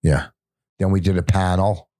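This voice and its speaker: A man's voice: deep voice, level tone, normal speed, warm tone, no echo, clean studio sound. An older man who is a stand-up comedian, telling stories in casual podcast chat, rambling with many restarts.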